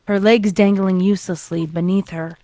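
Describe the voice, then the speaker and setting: A woman's voice: mid-range voice, steady tone, normal speed, mellow, no echo. A woman reading a scripted horror fan fiction story as its narrator.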